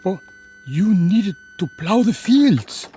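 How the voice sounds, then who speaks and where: A desperate father in a Western radio drama. His voice is a touch high for a man, solemn and heavy-hearted, with music clearly playing behind it. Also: very animated, slow pace, mellow, full character voice. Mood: grateful. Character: grave, desperate Mexican villager father pleading for son.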